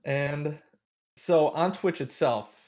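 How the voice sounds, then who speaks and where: A man's voice: on the high side, takes it slowly, a little mellow. A young man hosting a podcast, opening with a read intro and then asking casual questions. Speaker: podcast host. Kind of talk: podcast interview.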